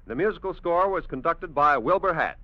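A man's voice: fairly high pitch, lively intonation, fairly quick. A man with an American accent reading scripted credits as a 1950s radio announcer. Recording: muffled vintage radio broadcast. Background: music.

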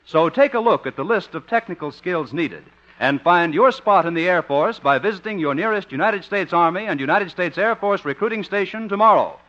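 A man's voice: high voice, lively intonation, a little fast, a bit bright. A man announcing on 1950s radio, reading scripted sponsor copy in a formal, declamatory way. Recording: dull, muffled 1950s radio recording.

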